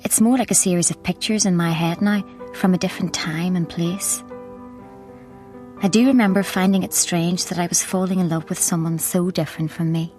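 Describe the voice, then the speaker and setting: A young Irish actress playing a nice, wry junior journalist in a naturalistic radio drama. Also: female voice, on the low side, fairly even intonation, normal speed, a little mellow, faint music behind, close to an everyday voice. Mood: wistful. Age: young adult. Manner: wry, playful teasing.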